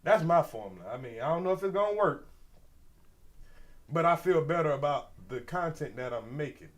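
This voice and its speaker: A man's voice: on the high side, animated, normal speed. A man in his forties hosting a casual livestream commentary, slangy and rambling, with a stuffy nose. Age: 40s. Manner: loose, rambling, slangy, talks straight to viewers. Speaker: commentary channel host.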